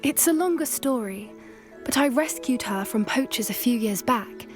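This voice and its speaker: A woman's voice: a little high, expressive, moderate pace, lightly acted. A woman playing an earnest student. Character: young woman student, kind creature lover. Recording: game audio with music behind.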